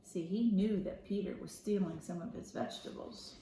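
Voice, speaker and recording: A woman's voice: mid-range voice, moderate pace, warm tone, slight background noise. Lady doing a kids read-aloud, storyteller voice, explains little bits as she goes, echoey room. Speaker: school media specialist reading aloud to children. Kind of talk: expressive children's storybook read-aloud with asides.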